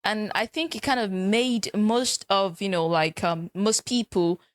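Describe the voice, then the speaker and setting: A woman's voice: mid-range voice, measured pace, no room sound. A woman with a Nigerian accent co-hosting a couples podcast, often finishing her partner's sentences and adding affirming remarks. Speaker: podcast co-host. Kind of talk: casual conversation.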